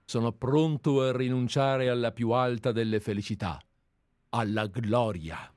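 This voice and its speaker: A man's voice: medium pitch, a little slow, a little mellow, dry recording, studio quality. A man reading aloud as an obsessive, deferential admirer who has tracked a writer for years, in a dramatized radio play reading. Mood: obsequious. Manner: earnest, deferential, methodical. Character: obsessive, fawning male admirer-sleuth. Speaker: reader.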